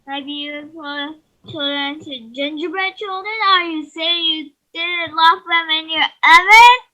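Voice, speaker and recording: A woman's voice: very high-pitched, measured pace, bright, forceful tone, highs cut off, full character voice. A woman playing a doting, indignant father who protectively defends his children in a readers theater play.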